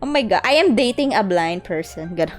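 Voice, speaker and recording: A woman's voice: mid-range voice, expressive, fairly quick. A woman with a Filipino accent casually reacting to a game on a live stream, playful and teasing. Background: game music.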